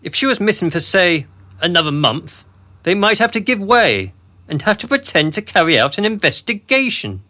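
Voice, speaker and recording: Actor as a high-pitched, sneering, pompous male boss. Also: big pitch swings, normal speed, sharp tone, heavily acted. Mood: smug. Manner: arch, mocking, pompous. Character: sneering, smug male boss of a sinister operation. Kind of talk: radio drama acting.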